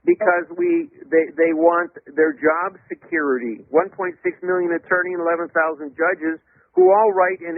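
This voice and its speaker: A man speaking as an interview guest, explaining at length in an emphatic, didactic, loud voice. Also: on the high side, slight background noise. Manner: emphatic, didactic, spells out words letter by letter. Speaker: interview guest, legal theorist. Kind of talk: explanatory radio interview monologue. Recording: dull, lo-fi radio or phone sound.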